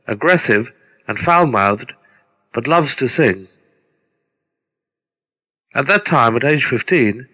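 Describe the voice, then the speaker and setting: A middle-aged man reading a scripted introduction as a presenter, deliberate and formal. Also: medium pitch, sharp tone. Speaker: presenter introducing his own radio play. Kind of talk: scripted narration. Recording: long hall-like reverb.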